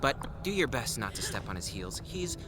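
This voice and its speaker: A man's voice: fairly low pitch, very animated, moderate pace, loud music behind the voice. An actor playing the cheeky, sarcastic male sidekick of a grumpy boss in an audio drama, teasing playfully.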